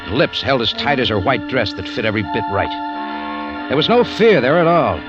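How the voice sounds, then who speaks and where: A man's voice: medium pitch, very animated, moderate pace, natural voice. An American actor playing a tough, wisecracking cafe owner in a 1950s radio drama, clipped and terse in his delivery. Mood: wry narrating. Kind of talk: 1950s radio drama acting, hardboiled narration. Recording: muffled vintage 1950s radio recording, music behind.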